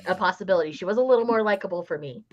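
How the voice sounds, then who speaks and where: A woman's voice: medium pitch, rapid-fire. A woman talking casually about books, recorded with dull, lo-fi sound. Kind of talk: casual book chat.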